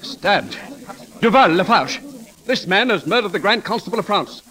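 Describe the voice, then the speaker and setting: An actor playing an outraged captain of the guard in a 1930s radio drama, urgent and declamatory on a hissy old recording. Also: male voice, high-pitched, animated, normal speed, pushed and bright.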